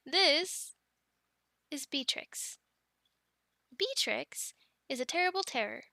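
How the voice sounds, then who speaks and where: A woman acting as a cheerful young female Viking dragon keeper in an audio roleplay. Her voice is high and bright, and she takes her time. She chats to the listener and coaxes her animals with tender affection. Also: lively intonation, takes it slowly, gravelly, no room sound, studio quality, exaggerated voice. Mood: tender, fond. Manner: chatty, affectionate coaxing and explaining. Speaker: amateur audio roleplay performer.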